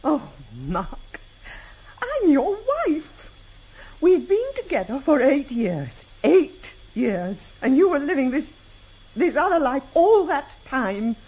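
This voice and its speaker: An actress in a vintage radio drama playing a loving, betrayed wife, speaking haltingly with pleading emotion. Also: female voice, high-pitched, very animated, darkish tone, full character voice, low-quality recording. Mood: hurt, pleading.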